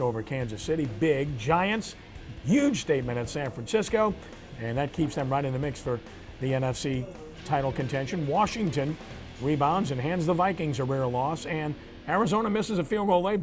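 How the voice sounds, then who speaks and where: Guy hosting a sports show, voice a bit high, rattles off results in short punchy phrases. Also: fairly quick, soft background music. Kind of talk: sports commentary and results rundown.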